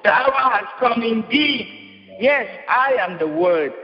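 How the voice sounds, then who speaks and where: A man's voice: very high-pitched, expressive, takes it slowly. A man delivering an impassioned prophetic sermon, repeating his lines with rising force. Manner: impassioned, repetitive declamation. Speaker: preacher. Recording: muffled, reverberant hall.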